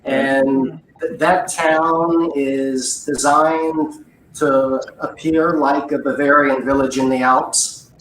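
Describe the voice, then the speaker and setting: A woman guest slowly explaining things in a casual chat. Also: extremely low pitch, steady tone, a little hiss or hum. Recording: roomy and distant with faint background.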